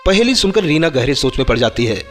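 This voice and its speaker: Male narrator for a children's moral story, higher than average pitch, long hall reverb. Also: big pitch swings, quick pace. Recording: long reverb, music bed.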